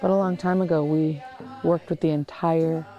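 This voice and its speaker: A woman who teaches a native language outdoors, speaking calmly and earnestly in short lists. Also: deep voice, moderate pace, highs cut off, faint music behind. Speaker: Tlingit language and culture teacher. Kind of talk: reflective interview talk.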